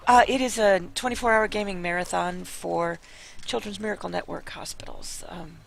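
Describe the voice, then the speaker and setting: A young-adult streamer from Chicago who is losing their voice, so every word comes out heavily gravelly and croaky. They ramble through a casual late-night chat, full of ums and uhs, at a middling pitch. A faint hiss sits behind the voice. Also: a bit bright. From Chicago, United States. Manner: rambling with many fillers, then low and sleepy. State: hoarse, losing voice. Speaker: live streamer.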